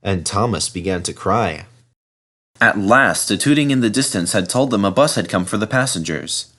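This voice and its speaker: A man's voice: on the low side, expressive, normal speed, a bit bright. A man reading a children's story as scripted narration. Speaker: storybook reader.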